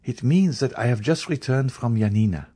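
A man's voice: medium pitch, lively intonation, moderate pace, dark tone, breathy edge, no room sound, studio quality. A man voicing an earnest young male journalist, a grave and loyal friend, with emphatic, formal delivery in an audiobook. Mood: earnest, insistent. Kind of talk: dramatised audiobook reading.

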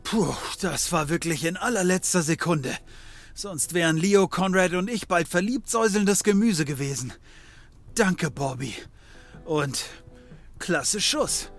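An actor playing a flippant, excitable male occult private detective who wisecracks, in a German comedy audio drama. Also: high-pitched, measured pace, soft background music. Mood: relieved, flippant. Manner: wisecracking, stammering when flustered.

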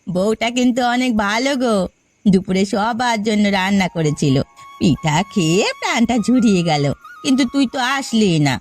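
A woman's voice: mid-range voice, lively intonation, normal speed, soft background music, lightly acted. A woman voicing a kindly, sensible older sister-in-law in a cartoon story, coaxing and advising like a peacemaker. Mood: concerned. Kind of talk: cartoon story character acting.

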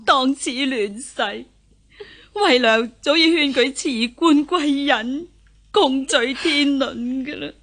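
A plaintive, high-voiced noble mother. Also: slow pace, fairly bright tone, heavily acted. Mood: grieving. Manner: plaintive, formal. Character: grieving, fretful mother, lady of the house. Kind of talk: Cantonese radio costume drama acting.

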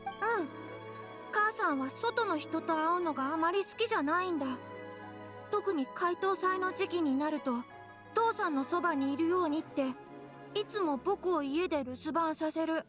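A woman's voice: very high voice, normal speed, music in the background. A woman voicing an earnest, worried young boy in a Japanese anime game. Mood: polite. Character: earnest young boy worried about his missing father.

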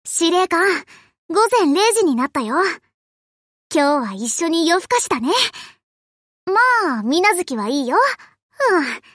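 A woman's voice: extremely high pitch, very animated, unhurried, pauses often, no echo, studio quality, exaggerated voice. A woman voicing a cheerful, affectionate, boyish young ship girl in an anime game, with a playful, casual tomboyish way of talking. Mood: relaxed, friendly. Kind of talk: anime game character voice lines.

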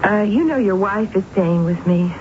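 A woman's voice: medium pitch, moderate pace, background noise, lightly acted. A woman playing a stern, brooding unmarried sister in 1970s radio drama, clipped and reproachful, with a melodramatic way of narrating. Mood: agitated. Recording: muffled 1970s radio recording, loud music.

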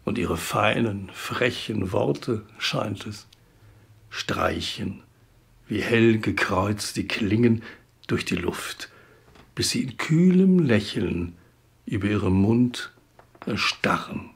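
A man recites poetry in a husky voice with a gravelly creak. He goes very slowly, setting each phrase apart with weighted pauses and expressive emphasis. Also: on the low side. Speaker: poetry reader.

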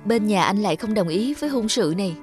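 Cartoon drama lead: actress as gentle young woman, sorrowful, earnest, mid-range voice. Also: medium pitch, expressive, quick pace, faint music behind, natural voice. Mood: worried, resigned. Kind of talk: cartoon drama acting with reflective narration.

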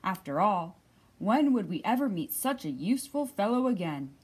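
A hospitable badger father from a picture book, read by a woman. The voice is slightly husky, and the manner is welcoming and appreciative. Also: medium pitch, sing-song, moderate pace, rough recording.

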